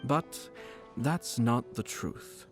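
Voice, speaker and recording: An actor playing a refined, slyly playful nobleman commissioner in a video game, speaking politely and in measured phrases. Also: medium pitch. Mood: calm, reflective. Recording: game music behind.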